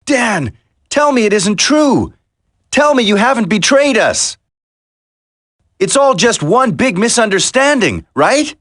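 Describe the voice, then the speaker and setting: Earnest, animated young commando hero. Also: male voice, sits high, takes it slowly, no room sound, studio quality, exaggerated voice. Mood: pained, incredulous. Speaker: video game voice actor. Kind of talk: dramatic scripted video game acting.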